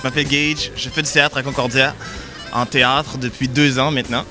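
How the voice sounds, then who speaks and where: A man's voice: medium pitch, flat delivery, a little fast, loud music behind the voice. A young man of twenty-three, a singer and theatre student, talking earnestly with fillers. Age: 20s. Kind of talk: casual interview.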